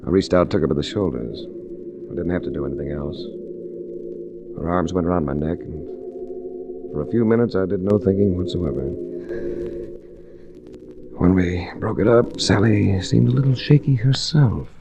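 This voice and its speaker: An actor playing a cool, persistent investigator in a 1940s radio drama, with a wry, probing, clipped manner. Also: male voice, low-pitched, normal speed, creaky, long pauses. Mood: cool, narrating. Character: cool, persistent hard-boiled male investigator narrating his own adventure. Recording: noisy restored 1940s radio recording.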